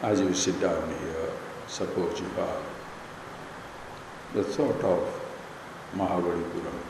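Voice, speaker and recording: Slow, deep-voiced Indian man in an echoing hall. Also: fairly even intonation. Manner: measured, deliberate, in short pausing phrases. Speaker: spiritual teacher leading guided meditation. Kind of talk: guided meditation talk. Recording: echoey hall, long reverb, background noise.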